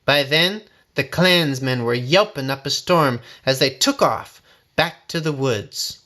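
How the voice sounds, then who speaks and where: A man reading a folksy first-person story aloud in a dramatic, expressive, suspenseful way. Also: medium pitch, takes it slowly. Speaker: storybook reader.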